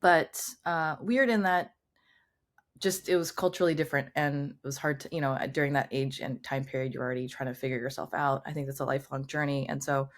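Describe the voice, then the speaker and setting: A woman's voice: a bass voice, steady tone, brisk. A woman from Southern California hosting an interview, reading a short intro and then chatting with many "um"s and "like"s. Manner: friendly, filler-heavy with "um" and "like". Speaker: podcast host.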